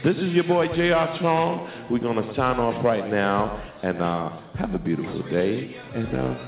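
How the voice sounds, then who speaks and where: A man's voice: mid-range voice, creaky. A man hosting a radio show with a Trinidadian accent, signing off in laid-back slang. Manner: laid-back slang, drawn-out sign-offs. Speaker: show host. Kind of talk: casual radio sign-off chat. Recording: tinny phone-line audio, echoey, music behind.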